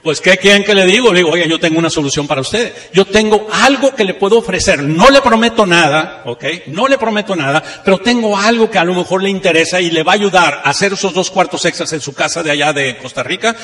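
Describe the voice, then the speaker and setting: A man with a northern Mexican accent speaks as a seminar trainer in a reverberant hall. His voice sits fairly high, and he drives points home with rhetorical questions and emphatic repetition. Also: fast, few pauses, fairly bright tone. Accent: northern Mexican Spanish. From Monterrey, Mexico. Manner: rhetorical questions, repeats key phrases with emphasis. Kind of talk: motivational seminar talk with anecdotes. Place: seminar room, speaking to an audience. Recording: echoey hall with long reverb.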